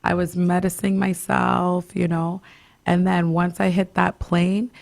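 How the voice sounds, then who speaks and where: A woman hosting a casual radio chat, her voice raspy and hoarse after a cough, talking in a halting way and laughing often. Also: on the low side, level tone, a little mellow. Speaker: radio show host, spiritual doula.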